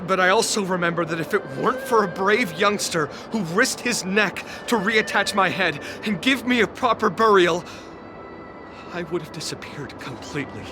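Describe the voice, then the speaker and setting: A man's voice: high-pitched, animated, moderate pace. Weary, steadfast male warrior, music behind. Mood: pained, determined. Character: stranded male warrior, weary, steadfast, idealistic resistance fighter. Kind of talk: dramatic video game voice acting.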